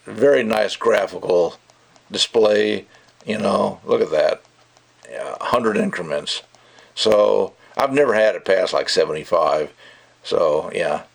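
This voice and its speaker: A man speaks in a slightly low voice with plenty of gravelly creak. He rambles casually as an amateur reviewer, loose and conversational, and freely offers his opinions. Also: slow pace.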